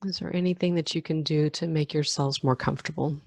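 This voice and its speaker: A woman guiding a meditation as a teacher, giving calm, gentle instructions with long pauses between phrases. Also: deep voice, creaky, no echo. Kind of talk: guided meditation.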